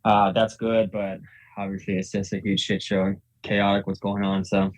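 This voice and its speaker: A man's voice: fairly low pitch, flat delivery, moderate pace, low-quality recording. A young man, born in Ukraine and raised in Virginia, chatting casually as a podcast guest, often laughing and repeating words. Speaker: guest, professional tennis player.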